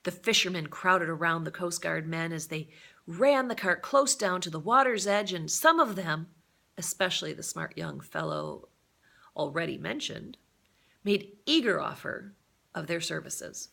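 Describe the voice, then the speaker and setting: A woman reading a novel aloud as a storyteller, with a deliberate, dramatic and expressive delivery. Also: fairly low pitch, vocal fry.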